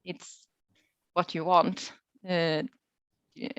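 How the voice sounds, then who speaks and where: Woman, guest researcher, lowish pitch, halting unscripted explanations, webinar Q&A, dry muffled video call audio. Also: flat delivery, clear, resonant tone, no echo. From Sweden. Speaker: guest researcher and speaker answering questions.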